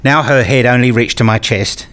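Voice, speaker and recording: A man acting as a brooding husband and father in a radio play. He is pedantic and fixed on rules, with a middling pitch and an introspective way of telling his own story. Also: moderate pace, a bit bright, natural voice. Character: brooding, rule-obsessed husband and father narrating his life. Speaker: narrator and lead character. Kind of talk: first-person radio play narration and dialogue.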